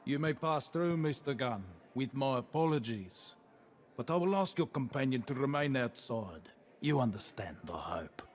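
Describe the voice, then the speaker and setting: A man's voice: medium pitch, lively intonation, moderate pace, lightly acted. A man playing a suave crime boss in a video game, formally courteous with veiled menace. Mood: polite menace. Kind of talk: video game cutscene acting.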